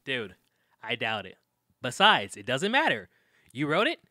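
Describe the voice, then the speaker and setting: Bright, animated, teasing young man. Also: mid-range voice, unhurried, no echo, clean studio sound, heavily acted. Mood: teasing, insistent. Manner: bantering, emphatic, insistent. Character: Demetrius, young male podcaster, teasing, pushy best friend. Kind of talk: naturalistic comedic audio drama acting.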